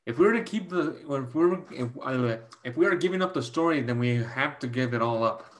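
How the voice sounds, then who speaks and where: A man acting a protective, lovelorn brother figure in a staged drama reading over a video call, speaking earnestly and haltingly. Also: mid-range voice, expressive, natural voice. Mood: hurt, firm. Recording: clear background noise on video call.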